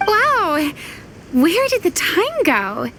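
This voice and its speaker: A woman's voice: huge pitch swings, very slow, noisy background, full character voice. A woman voicing a sweet, timid, earnest young girl with a girlish voice in a video game. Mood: surprised. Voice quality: girlish, very high. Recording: music behind the voice.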